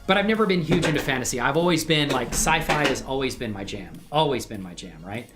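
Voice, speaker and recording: A man's voice: mid-range voice, lively intonation, quick pace, loud music behind the voice. A middle-aged man chatting informally and enthusiastically as he hosts a casual livestream demonstration. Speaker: livestream host and hobby animator.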